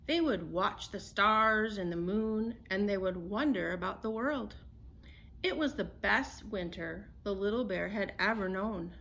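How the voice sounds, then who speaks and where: A woman storyteller reading a children's picture book aloud in an expressive, unhurried way. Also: medium pitch.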